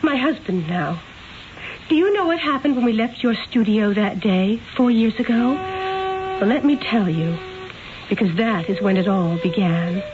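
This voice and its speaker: An actress in an old-time radio drama playing a gentle, anxious aristocratic young wife, earnest and theatrical, with formal diction. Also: female voice, mid-range voice, moderate pace, phone-quality sound, natural voice. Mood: troubled recounting. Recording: thin, hissy old radio broadcast.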